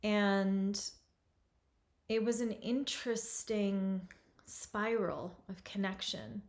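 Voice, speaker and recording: A woman host in her forties, from Lebanon, speaking at an unhurried pace with a middle-range voice. She talks reflectively and softly, taking her time over each thought. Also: mid-range voice, steady tone. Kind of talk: reflective event introduction.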